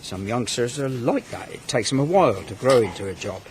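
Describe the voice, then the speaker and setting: A man's voice: mid-range voice, animated, a little fast, close to an everyday voice. A man playing a middle-aged pig unit manager in a radio soap. He is patient and stubborn, speaking plainly with very mobile intonation over some background noise. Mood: mild, considerate. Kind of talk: naturalistic British radio soap acting.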